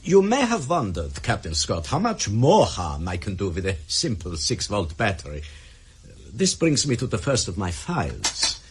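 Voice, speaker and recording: A man's voice: fairly low pitch, huge pitch swings, normal speed, breathy edge, full character voice. An actor playing a sadistic, coolly courteous Soviet doctor who lectures his victim with precise, menacing politeness in a radio drama.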